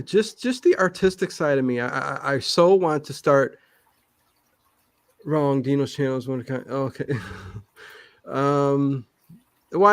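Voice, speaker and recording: An American man from Cleveland chatting casually as a livestream guest, excitable, often repeating himself and interjecting. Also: mid-range voice, dry recording. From Cleveland, United States. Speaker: YouTube channel host, interview guest.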